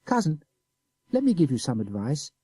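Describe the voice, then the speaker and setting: Guy doing a cheeky little kid voice, high and sneery, husky and dry. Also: wildly swooping pitch, moderate pace, dark tone, no room sound, exaggerated voice. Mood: sly mocking. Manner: sneering, pert. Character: sly young boy, cool and mocking. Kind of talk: audiobook character voice.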